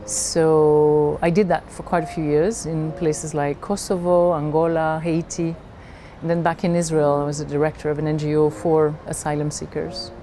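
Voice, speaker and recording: A middle-aged woman from Belgium telling her personal story in a reflective way, haltingly searching for her words. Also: deep voice, flat delivery, dark tone, slight background noise. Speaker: leadership coach.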